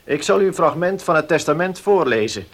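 An actor playing a formal notary in a Dutch radio play, speaking precisely and with measured care. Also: male voice, on the high side, expressive, slight background noise. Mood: solemn. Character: formal, measured male village notary reading a will.

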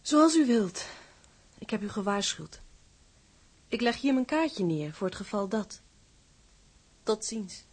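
An actress playing a cool, haughty young fiancée in a radio drama, polite, composed and condescending. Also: female voice, medium pitch, very animated, slow pace, pauses often, slightly rough, heavily acted. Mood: composed, warning.

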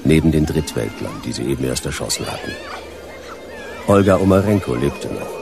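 An actor playing a laconic, world-weary private detective in a sci-fi radio play, speaking in terse deadpan noir style. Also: male voice, extremely low pitch, brisk, music in the background, full character voice. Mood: deadpan narration.

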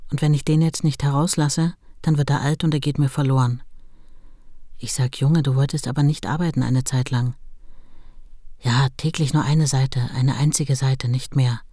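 Long-suffering wife, plain, understated, radio drama recollection, very dry acoustic. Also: female voice, extremely low pitch, fairly even intonation, normal speed, warm tone, dry recording. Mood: fond recollection. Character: writer's long-suffering wife recalling their life, plain and sorrowful. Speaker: actress in a radio play.

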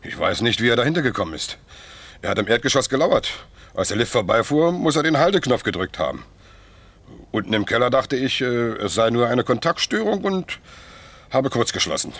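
An actor playing a cornered, debt-ridden culprit, halting and defensive, in a 1960s East German radio crime play. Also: male voice, mid-range voice, very animated, fairly bright tone. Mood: uneasy, confessing.

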